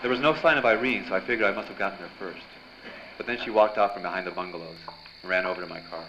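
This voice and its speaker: A wry, hard-boiled newspaper reporter from a 1940s radio noir, acted by a man. He has a low voice and a clipped storyteller's delivery. The live recording is dull and clearly noisy. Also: rapid-fire, bright, forceful tone. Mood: wry, urgent. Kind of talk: 1940s radio drama acting.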